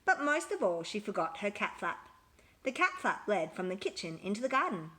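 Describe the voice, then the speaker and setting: A woman reading a children's picture book aloud with expressive, emphatic storytelling. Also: mid-range voice, moderate pace.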